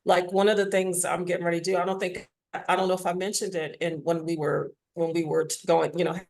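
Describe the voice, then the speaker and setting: A woman's voice: lowish voice, little pitch movement, fast talker, gravelly, darkish tone. A woman talking as a guest in a casual interview, telling stories in a frank, informal way. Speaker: interview guest, theatre maker and audio drama writer. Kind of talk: casual, candid interview conversation.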